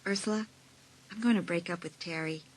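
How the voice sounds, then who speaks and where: A woman's voice: fairly low pitch, brisk, natural voice, poor audio. A young woman playing an anxious, frightened teenage girl who keeps a secret, in a dramatised audiobook. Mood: worried. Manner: breathy, often dropping to urgent whispers. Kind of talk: dramatised audiobook acting with inner monologue.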